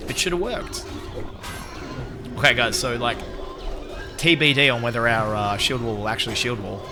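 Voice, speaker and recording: A man live-streaming a game and chatting to viewers in an excitable, swearing way, recovering from a lost voice. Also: medium pitch, moderate pace, music in the background. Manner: excitable, swears, shouts out chat members. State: recovering from losing his voice. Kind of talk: casual gaming stream chat.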